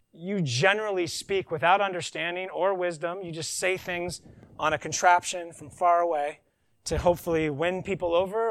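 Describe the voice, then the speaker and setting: A man preaching a sermon, reading scripture with emphasis and breaking into conversational asides. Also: high-pitched. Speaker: pastor.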